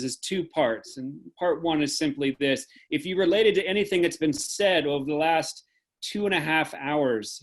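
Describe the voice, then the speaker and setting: A man speaking as host of an online event, giving earnest, deliberate closing remarks on a video call. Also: medium pitch. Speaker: host and moderator.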